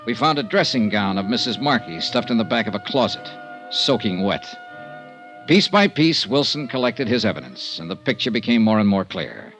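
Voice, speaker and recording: Casting: cool American private eye, low voice, dry clipped narration, old-time radio drama with music behind. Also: male voice, very animated, normal speed, fairly bright tone. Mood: matter-of-fact narration. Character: wry, cool male private investigator narrating his case. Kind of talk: 1940s-50s radio detective acting.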